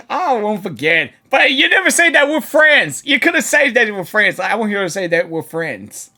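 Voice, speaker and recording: A woman game streamer giving casual, rambling, excitable live reactions. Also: mid-range voice, moderate pace. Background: game music.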